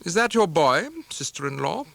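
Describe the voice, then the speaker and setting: A man's voice: on the high side, lively intonation, normal speed, vocal fry, a bit bright, dry recording, pitched above the performer's own voice. A man voicing a gloomy, disapproving spinster sister-in-law in an audiobook, with a clipped, severe, imperious manner. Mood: cold, disdainful.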